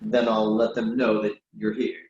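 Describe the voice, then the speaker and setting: A man's voice: fairly low pitch, level tone, normal speed, a little mellow, lightly acted. A man playing a polite young waiter in a play reading. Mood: polite, attentive. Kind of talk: readers theatre play reading. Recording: roomy, muffled, faintly noisy microphone.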